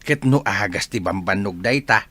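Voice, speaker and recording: A man's voice: fairly low pitch, expressive, normal speed, sharp tone, no echo. A man playing a jolly old grandfather fond of a drink, acting in a jovial, chuckling way in an audio drama. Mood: jovial, eager.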